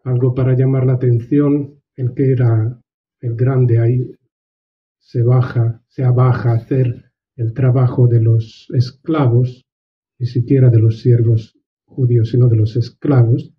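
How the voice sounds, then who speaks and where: A man giving a reflective book presentation, talking in a measured, earnest way with restarts and drawn-out words. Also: medium pitch, flat delivery. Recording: dull lo-fi recording.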